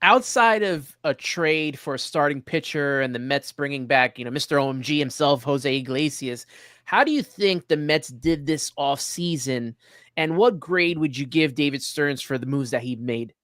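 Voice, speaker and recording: A man with a somewhat high voice, recorded close and dry. He hosts sports talk in a conversational, analytical style. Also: moderate pace, fairly bright tone, no room sound. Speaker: sports show host.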